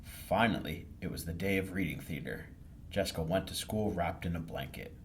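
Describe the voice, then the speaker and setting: Male storytime reader, low voice, very lively intonation, scripted children's narration, faint background noise. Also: moderate pace.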